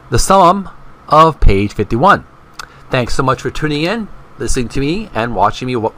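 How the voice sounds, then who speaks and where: A middle-aged man presents an informal read-along to his viewers. His delivery is halting, and he often circles back to repeat a phrase. His voice sits at a medium pitch for a man. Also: fairly bright tone. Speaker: video host.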